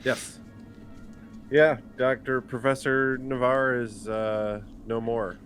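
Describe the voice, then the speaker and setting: A man's voice: medium pitch, fairly even intonation, takes it slowly, fairly bright tone, soft background music. A man chatting casually as a player on a tabletop roleplaying show. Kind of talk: casual chat.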